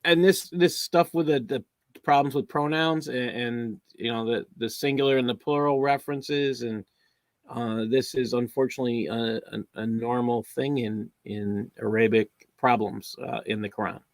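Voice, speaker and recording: A man's voice: mid-range voice, steady tone, moderate pace, no echo. A man in a casual discussion, answering with wry, dry remarks and the occasional chuckle. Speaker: co-host.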